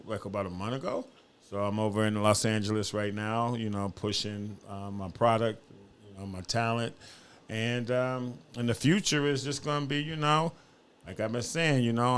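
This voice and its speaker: A man's voice: medium pitch, fairly even intonation, moderate pace, clear, resonant tone. A man from upstate New York talking casually as an interview guest, using slang and repeating his phrases. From Buffalo, New York, United States. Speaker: podcast guest, fashion designer.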